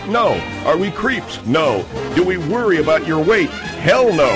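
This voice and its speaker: A man playing a gruff, blustering male steakhouse owner who bumbles through his own radio ad. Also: sits high.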